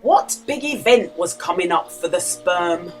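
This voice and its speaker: A woman reading a children's storybook aloud as a storyteller, with dramatic emphasis and deliberate pauses for young listeners. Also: on the low side, expressive, soft background music. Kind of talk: expressive children's storybook read-aloud with quiz.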